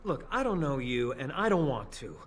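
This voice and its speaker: An actor voicing a nervous, evasive young spy in a fantasy video game, stammering and defensive. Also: male voice, medium pitch, very animated, moderate pace, fairly bright tone, slight background noise. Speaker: game character.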